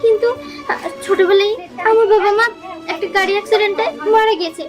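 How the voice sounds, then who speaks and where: A woman's voice: very high voice, steady tone, fast. A woman playing a rejected, scarred young bride who pleads tearfully in a melodramatic short film. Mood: sorrowful confession. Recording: loud music over the dialogue.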